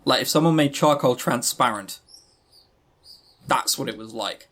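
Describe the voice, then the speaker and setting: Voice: mid-range voice, measured pace, fairly bright tone. A podcast co-host chatting casually about games in an exasperated, emphatic, sweary way. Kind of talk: casual visual novel review chat.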